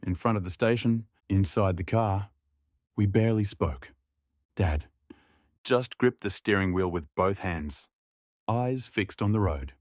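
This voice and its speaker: A young man narrating a drama in the first person, in a quiet, reflective way. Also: fairly low pitch, no echo, clean studio sound.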